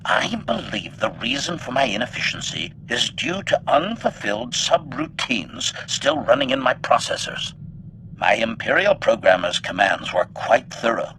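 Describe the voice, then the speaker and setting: A man's voice: mid-range voice, sing-song, normal speed, pushed and bright, a little hiss or hum, poor audio. A man voicing a menacing, coldly logical combat droid that speaks in formal, clinical statements, with robotic processing, for a video game. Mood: clinical, calculating. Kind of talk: video game character acting.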